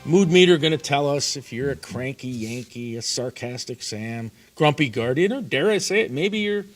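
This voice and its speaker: A man's voice: mid-range voice, lively intonation, moderate pace, faint music behind. A man hosting a sports talk show, upbeat and emphatic, punching his points. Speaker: host.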